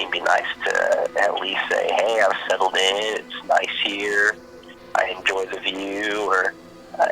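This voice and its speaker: A man playing a worried friend leaving a rambling voicemail in a fiction podcast, halting and casual, with a gravelly voice. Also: fairly low pitch, pushed and bright, low-quality recording. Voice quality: gravelly, creaky.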